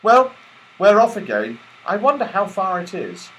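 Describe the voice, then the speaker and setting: A lively, adventurous young boy, played by a man reading aloud in a storybook. He is breezy and exclamatory, with a high pitch that jumps around. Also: a little slow, heavily acted, poor audio. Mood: cheerful. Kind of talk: children's storybook character voice.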